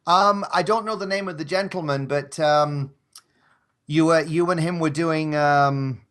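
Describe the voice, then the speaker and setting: A man's voice: fairly high pitch, fairly even intonation, a little slow, fairly bright tone. Polite, hesitant male podcast guest. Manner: polite, hesitant, often restarts phrases. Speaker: podcast guest, audio drama performer. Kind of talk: casual chat.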